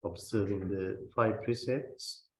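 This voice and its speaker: A man who is a Buddhist meditation teacher, guiding a meditation in a gentle, measured way with long pauses between phrases. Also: fairly low pitch, steady tone. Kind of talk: guided meditation. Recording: roomy, reverberant sound.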